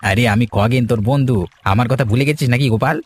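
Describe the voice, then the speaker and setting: A man's voice: mid-range voice, quick pace, a little hiss or hum, lightly acted. A man voicing a scheming villager who poses as a helpful friend in a cartoon folk tale, with a sly, overfamiliar, wheedling manner. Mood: overfamiliar, prying. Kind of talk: cartoon fairy-tale dialogue.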